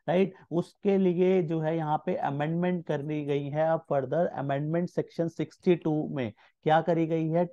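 Male Indian presenter, thirties, mellow tone, explanatory tutorial delivery with repeated key phrases. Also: fairly high pitch, lo-fi sound. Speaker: tax explainer and channel host. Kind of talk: explanatory lesson mixing Hindi with English terms.

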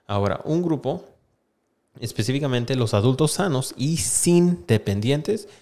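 Man explaining news updates, medium-pitched voice. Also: darkish tone. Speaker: YouTube host. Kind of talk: casual news explainer, reading aloud and commenting. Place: small indoor room with plain wall. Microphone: desk microphone close in front of him.

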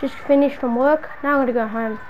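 A woman's voice: high voice, fairly even intonation, fairly quick, dull audio, slight background noise, lightly acted. A woman playing an exasperated, slangy city dweller hounded by a stalker friend in an improvised video game roleplay. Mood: casual muttering. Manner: slangy, muttering to self, then scolding.

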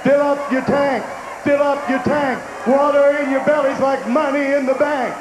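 An older man co-hosting a festival stage with a handheld mic, cracking goofy puns and leading shouted crowd chants outdoors. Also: extremely high pitch, steady tone, moderate pace, background noise. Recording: echoey outdoor PA with loud crowd.

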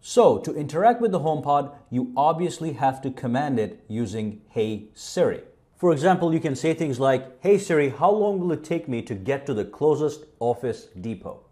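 A man's voice: fairly high pitch, big pitch swings, normal speed, mellow. A man hosting a tech tutorial, narrating a casual how-to demonstration.

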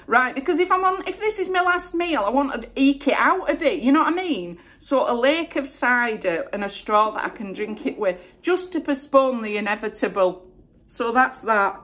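A woman in her forties with a West Yorkshire accent, chatting to camera at home in a relaxed, self-deprecating way. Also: sits high, normal speed. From Northern England. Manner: chatty, self-deprecating, lots of "you know". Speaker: YouTuber. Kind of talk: casual Q&A chat to camera. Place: home room with flowers behind.

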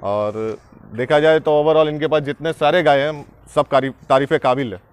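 Young male buyer, slightly high voice, short polite replies in a cattle shed. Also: moderate pace, clear, resonant tone. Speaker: buyer being interviewed.

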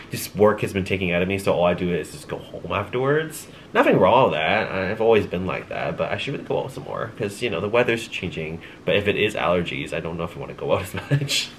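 A man's voice: low voice, fairly bright tone, slight background noise. A young man chatting casually to his camera at home at night, rambling with lots of ums, sounding congested and tired. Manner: rambling, chatty, with light laughs and many ums. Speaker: vlogger. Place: small home room at night.

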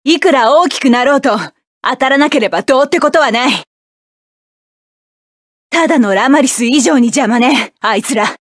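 Brief: cool, scornful female robot pilot; clipped battle barks, high voice, dry booth sound. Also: normal speed, long pauses, no echo. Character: proud cool-headed female mecha pilot, sharp-tongued. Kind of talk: video game battle voice lines.

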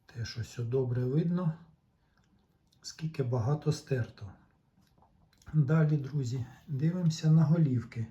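Male repair vlogger, unhurried and soft-edged, explaining technical details casually with halting pauses; slightly muffled audio. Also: mid-range voice. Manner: deliberate, halting, pauses mid-sentence. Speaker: tape recorder repair vlogger.

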